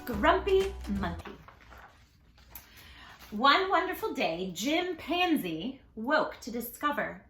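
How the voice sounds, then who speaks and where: Very animated, high-voiced woman reading to children. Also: slow, pauses often, echoey room, faint music behind. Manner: animated, affectionate, coaxing, speaks directly to young listeners. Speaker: children's story reader, tutor. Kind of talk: read-aloud storytelling for young children.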